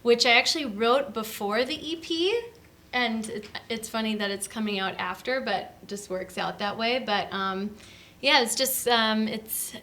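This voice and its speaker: A woman's voice: a little high, a little slow, pushed and bright, a little hiss or hum. A young woman, a musician, chatting casually and bubbly in a recording studio interview. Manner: bubbly, chatty, many fillers. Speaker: musician guest.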